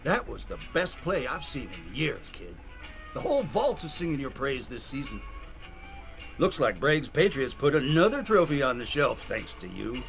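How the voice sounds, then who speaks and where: A man playing a gruff, gung-ho patriotic coach in a video game, with an American accent and bombastic, speechifying delivery. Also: medium pitch, sing-song, moderate pace, a bit bright. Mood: approving, gruff, familiar. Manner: bombastic, drill-sergeant speechifying. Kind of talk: scripted video game character acting. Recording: music behind the voice.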